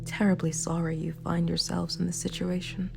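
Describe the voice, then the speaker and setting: A woman playing a remorseful, sympathetic villain ruler in a scripted ASMR roleplay, speaking in a hushed, breathy, formal and measured way. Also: on the low side, monotone. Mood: regretful. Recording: clear background music. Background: dark, spooky background music.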